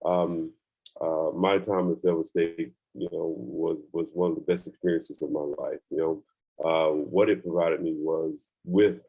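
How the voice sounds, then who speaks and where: An older man introducing himself on a video call, halting with many fillers. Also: sits low, dark tone, low-quality recording. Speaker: alumnus speaker. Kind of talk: virtual panel introduction.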